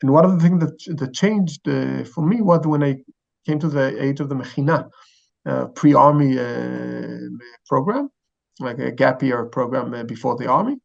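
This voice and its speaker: Halting Israeli-accented man with creaky voice. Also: mid-range voice, lo-fi sound. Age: 40s. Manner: halting, hesitant, searching for words. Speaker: chief rabbi, interview guest. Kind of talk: informal interview answers, explanatory.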